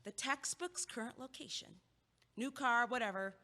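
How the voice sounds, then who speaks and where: A woman's voice: on the high side, normal speed, bright, forceful tone. A young woman playing a quirky, argumentative student in an audio play, sardonic and emphatic, with logical comebacks. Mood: wry. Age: young adult. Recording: long echoing hallway reverb.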